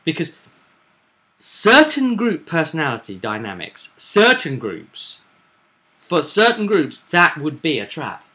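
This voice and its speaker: Bright-voiced man recapping a tabletop game. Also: a little high, wildly swooping pitch, slow pace. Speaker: host and game master recapping a tabletop session. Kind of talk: casual recap discussion of a tabletop roleplaying game.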